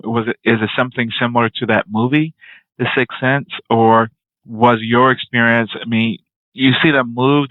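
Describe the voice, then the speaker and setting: A man's voice: mid-range voice, no echo. Interview host, man, rambling questions, dry close sound, tinny phone-like line. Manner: long winding questions. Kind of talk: casual interview talk.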